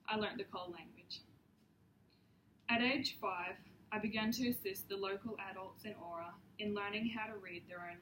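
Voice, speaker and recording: Young woman reading a class presentation. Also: mid-range voice. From Papua New Guinea. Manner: measured, earnest, occasional ums and restarts. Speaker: student presenter. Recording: echoey room, faint background hiss.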